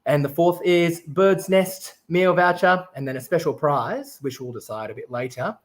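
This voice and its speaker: A man's voice: on the high side, normal speed. A man raised in Japan, casually hosting an online festival stream and explaining things step by step in an upbeat way.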